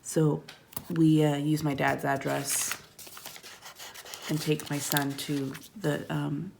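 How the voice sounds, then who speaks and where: A woman in her thirties chatting casually at home while placing planner stickers, rambling and sometimes murmuring quietly to herself. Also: low-pitched, little pitch movement, slow, vocal fry, slight background noise. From California. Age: 30s. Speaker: planner vlogger. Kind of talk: casual plan-with-me narration. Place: at home, tabletop.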